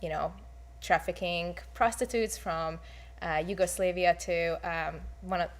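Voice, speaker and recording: A woman's voice: on the low side, fairly even intonation, a little slow. A young woman from Hungary, speaking candidly with many fillers as she shares her personal testimony. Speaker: guest.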